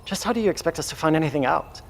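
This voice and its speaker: A man's voice: fairly high pitch, lively intonation, rapid-fire, gravelly, long reverb tail, faint music behind. An actor playing a wary, skeptical male scientist who pushes back doubtfully in an audio drama.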